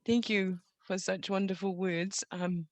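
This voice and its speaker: A middle-aged woman with a New Zealand accent, sharing personal motivational stories in an earnest, reflective and deliberate way on an online call. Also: mid-range voice, fairly even intonation, no room sound. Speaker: guest storyteller. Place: online video gathering. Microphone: video call.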